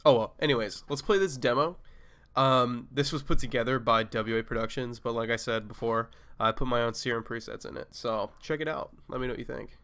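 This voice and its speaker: A man with a nasal voice from a cold, giving a laid-back, casual review of a sample pack with short excited reactions. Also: mid-range voice, a little fast, clean tone. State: sick with a cold. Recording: music playing behind.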